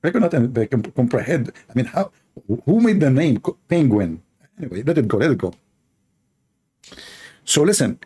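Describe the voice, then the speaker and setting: A man's voice: big pitch swings, moderate pace, long pauses, dark tone, husky. A man whose first language is Arabic, giving a mocking, sarcastic live commentary and often laughing at what he reads. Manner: sarcastic, laughing, theatrical swings in pitch. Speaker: religious debate streamer.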